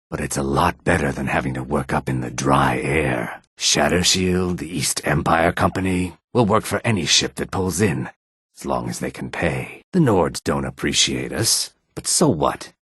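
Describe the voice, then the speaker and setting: A weary lizard-man from a fantasy video game. His voice sits very deep, with a rough, raspy grain, recorded close and dry. He talks plainly and guardedly, a wary working fighter who measures his words. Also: a bit bright, dry recording, heavily acted. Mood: wry, stoic.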